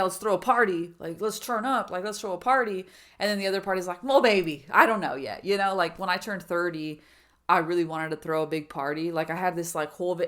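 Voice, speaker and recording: A woman in her thirties with a voice pitched a little low speaks in a loose, rambling vlog style. She fills her talk with "like" and restarts, and now and then slips into quoted asides that voice her own inner thoughts. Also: fairly bright tone. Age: 30s. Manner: rambling, filler-heavy with many "like"s and restarts. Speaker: vlogger. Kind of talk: reflective personal vlog.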